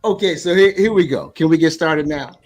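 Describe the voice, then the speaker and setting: A man's voice: on the high side, fairly quick. An American man hosting an interview show in a casual, conversational way. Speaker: show host. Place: video call.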